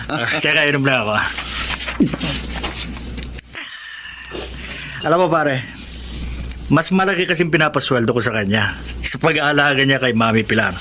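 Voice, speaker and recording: An actor with a Filipino accent playing a greedy, cocky young schemer in a radio drama, boastful, smug and wheedling. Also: male voice, mid-range voice, brisk, bright, forceful tone, slight background noise, close to an everyday voice.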